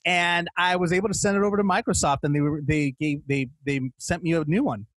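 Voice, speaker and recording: A man hosting a podcast in a casual, playful chat about video games, animated and stumbling over his words. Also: a little high, brisk, a little hiss or hum. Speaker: podcast host interviewing a guest.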